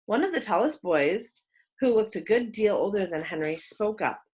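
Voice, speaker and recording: A woman reading a children's story aloud as narrator, expressive and with dramatic pauses. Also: medium pitch, poor audio. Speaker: storybook reader.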